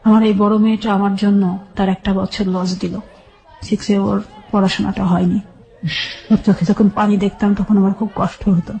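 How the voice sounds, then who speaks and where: Middle-aged Bangladeshi woman, mellow and level in pitch, giving sombre personal testimony as a radio guest. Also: flat delivery, moderate pace, gravelly, low-quality recording. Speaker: guest telling her life story.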